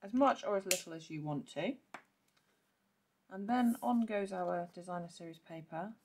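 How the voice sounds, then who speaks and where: A woman with a croaky voice, chatting through a step-by-step card-making tutorial and clearing her throat now and then. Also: lowish voice, moderate pace, a little mellow, slight background noise. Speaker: papercraft demonstrator. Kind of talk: casual step-by-step craft tutorial.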